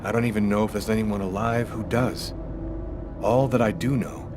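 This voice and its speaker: A man acts a haunted fugitive with a fairly deep voice. He is grave and earnest in naturalistic game dialogue, with loud music underneath. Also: fairly even intonation, moderate pace, lightly acted. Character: earnest, haunted male fugitive, serious young everyman. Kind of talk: naturalistic video game acting.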